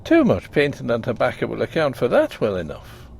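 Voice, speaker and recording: A man's voice: medium pitch, huge pitch swings, a little fast, creaky, a little mellow, a little hiss or hum. A man voicing a blunt, hearty young country doctor with an Irish accent, brusque and teasing. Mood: brisk.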